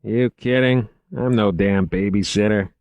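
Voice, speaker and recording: Casting: a curt radio dispatcher, voiced by a male narrator, voice raised above his low natural tone, dry and close. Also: fairly even intonation, measured pace, darkish tone, no echo, lo-fi sound. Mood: impatient. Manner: gruff, impatient.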